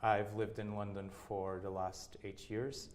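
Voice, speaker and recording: A man from Portugal speaks with a Portuguese accent and a fairly low voice. He answers interview questions in a hesitant way, often restarting and repeating words. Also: flat delivery, a little slow, a little hiss or hum. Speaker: opera singer, interviewee.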